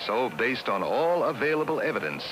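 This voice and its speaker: A man giving measured, scripted narration for a 1960s classroom educational film. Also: mid-range voice, very animated, noisy background. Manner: measured, explanatory.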